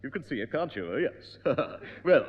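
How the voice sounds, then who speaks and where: An actor playing a prim, pompous piano teacher in a 1960s radio comedy. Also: male voice, mid-range voice, sing-song, moderate pace. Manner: pompous, prim, repeats "oh yes". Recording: dull, echoey old radio broadcast.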